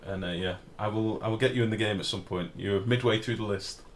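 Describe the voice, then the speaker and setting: A man with a deep, booming voice giving casual, sweary live game commentary as a streamer and chatting with viewers. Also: brisk. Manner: informal, sweary, reads and answers chat. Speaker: game livestreamer. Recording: clear background noise.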